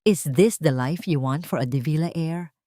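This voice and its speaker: A woman's voice: low voice, moderate pace, mellow, dry recording, studio quality, natural voice. Woman acting a haughty, sneering courtroom lawyer. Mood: scornful, mocking. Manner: mocking, haughty, code-switching courtroom oratory. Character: sneering, contemptuous lawyer attacking a poor mother in court.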